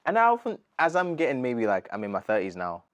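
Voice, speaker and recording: A man's voice: medium pitch, quick pace, no echo, lo-fi sound. A British man of thirty, a gospel artist talking earnestly and casually in an interview, full of slang. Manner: earnest, animated, slangy, says bro a lot.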